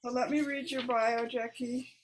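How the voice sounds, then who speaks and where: A woman informally hosting a poetry reading and introducing poets in a halting manner. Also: fairly high pitch, flat delivery, a little hiss or hum, poor audio. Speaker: host of a poetry reading event.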